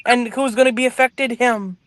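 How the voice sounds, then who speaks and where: A man's voice: very high voice, fairly even intonation, normal speed, a bit bright, dry recording, low-quality recording. A man talking as a guest on a casual talk show, arguing his points earnestly and at length.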